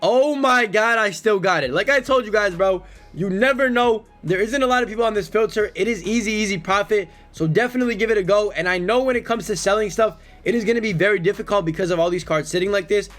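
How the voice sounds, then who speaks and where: Young male gaming YouTuber, hoarse, high-voiced, slangy and hyped. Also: quick pace, hardly any pauses, faint music behind. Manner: energetic, slangy, keeps calling viewers bro and man. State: hoarse, lost voice. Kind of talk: casual gameplay commentary.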